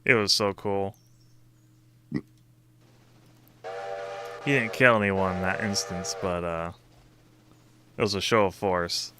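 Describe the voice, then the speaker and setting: A man's voice: low voice, fairly even intonation, slow, pauses often, noisy background. A man hosting a casual gaming stream over a voice call, telling stories animatedly and laughing often. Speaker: streamer and host. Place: voice call with friends.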